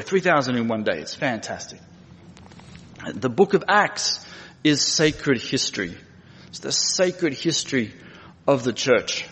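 A man's voice: mid-range voice, a bit bright. Australian male preacher, echoing hall. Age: middle-aged. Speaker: guest preacher and missionary. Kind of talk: conversational sermon. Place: large church room. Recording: echoey hall with long reverb.

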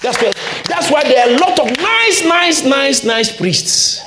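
High, lively pastor preaching. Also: male voice, measured pace, reverberant, over a noisy crowd. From Ghana. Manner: emphatic preaching with repeated phrases. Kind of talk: sermon.

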